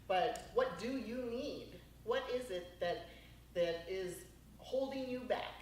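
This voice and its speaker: A woman's voice: medium pitch, slow, darkish tone. Woman hosting a panel in an echoey room. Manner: enthusiastic, addresses listeners as folks. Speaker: panel host. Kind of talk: panel discussion moderating. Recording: echoey room, mic at a distance, faint noise.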